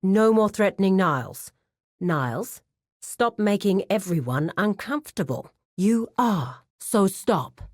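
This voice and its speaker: A businesslike female cartel administrator from a video game, formal and authoritative. Her phrasing is measured, yet her pitch moves freely through a middle register. The sound is dry and close. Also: animated, darkish tone, no room sound. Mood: stern, reprimanding. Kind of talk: scripted video game character dialogue.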